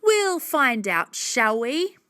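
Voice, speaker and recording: A menacing, furious man who runs a factory, acted out by a woman narrator. He is gruff and curt, throwing out threats in a storybook scene with a dry, close sound. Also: mid-range voice, animated, slow, sharp tone, no room sound. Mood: gruff, scornful. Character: furious, menacing man, manager of a meat cannery.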